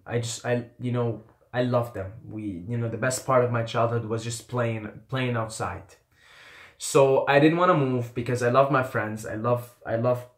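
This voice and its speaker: A man's voice: medium pitch, fairly bright tone. A young man from Qatar whose first language is Arabic, telling a personal story in a rambling, candid vlog style with casual swearing and repeated phrases. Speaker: YouTuber. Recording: echoey room.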